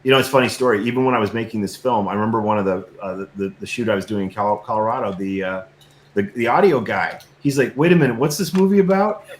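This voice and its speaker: A middle-aged man speaking as an interview guest and filmmaker, telling stories casually in an earnest, reflective, halting way with emphatic repetition. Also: lowish voice. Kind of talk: casual conversational storytelling. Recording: roomy echo, faint background noise.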